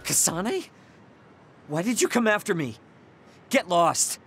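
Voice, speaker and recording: An actor playing a proud, insecure young soldier in an anime video game, curt and defensive. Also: male voice, high-pitched, big pitch swings, slow, husky, heavily acted. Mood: prickly. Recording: loud music under voice. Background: game soundtrack music.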